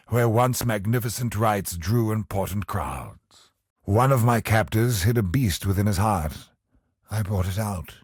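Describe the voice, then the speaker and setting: Husky, slow, doom-laden cosmic sorcerer. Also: male voice, on the low side, a little mellow, no room sound, studio quality. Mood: bleak, foreboding, then cold, vengeful. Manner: solemn, portentous, dramatic slow delivery. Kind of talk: theatrical video game villain voice lines.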